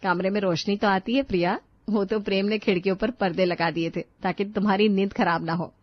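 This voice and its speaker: A woman's voice: mid-range voice, steady tone, brisk, no echo, highs cut off, lightly acted. A woman voicing an easygoing, pleasure-loving elder sister-in-law in a storybook tale, casual and teasing. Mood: gentle.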